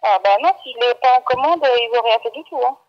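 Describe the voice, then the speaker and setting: A woman with a high voice takes an unscripted customer call as a shop employee. She is blunt and a bit flustered, often repeating herself. The phone line makes her sound dull and muffled. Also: fast talker. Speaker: bakery employee. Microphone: telephone.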